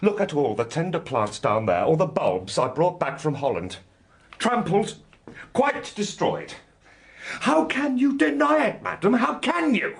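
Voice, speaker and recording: Wildly swooping, anguished gentleman father. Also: big pitch swings, measured pace, gravelly, echoey room, exaggerated voice. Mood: angry accusing. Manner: accusing, agitated, swinging from low scolding to cries. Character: angry, fearful gentleman father, afflicted in mind. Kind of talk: audio drama acting.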